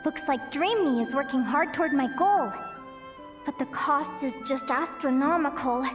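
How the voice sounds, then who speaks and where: Woman voicing a very high, girlish excitable young adventurer. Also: normal speed, natural voice. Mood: curious, musing. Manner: exclamatory, expressive, girlish. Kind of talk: video game voice acting. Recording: music behind the voice.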